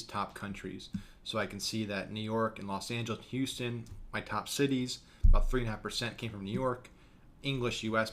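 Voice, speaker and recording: A young man teaching an online course over a screen share, talking quickly and casually with frequent fillers. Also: lowish voice, fairly bright tone. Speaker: course instructor. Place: small room, indoors.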